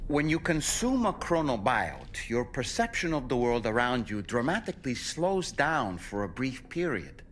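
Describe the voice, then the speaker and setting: A man's voice: medium pitch, sing-song, normal speed, hardly any pauses, a bit bright, slight background noise. A man playing a thoughtful, formal scientific adviser in a video game, speaking in an articulate way. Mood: explanatory. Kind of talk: video game dialogue acting.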